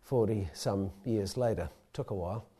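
A man's voice: on the low side, moderate pace, background noise. A middle-aged man with a New Zealand accent telling a rambling, self-deprecating personal story to a live audience.

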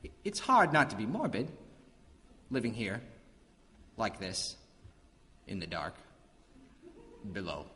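A man's voice: sits high, sing-song, normal speed, fairly bright tone. A man playing an amiable, awkward king of the underworld in a comic myth drama, earnest, wry and apologetic. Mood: defensive, wry. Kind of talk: comic mythological stage drama acting. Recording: reverberant hall, background room noise.

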